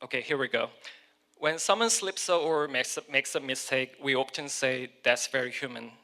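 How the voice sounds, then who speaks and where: A man's voice: mid-range voice, normal speed, pushed and bright. A man from Korea with a Korean accent, giving a prepared keynote speech in English as a non-native speaker and correcting small slips as he reads. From South Korea.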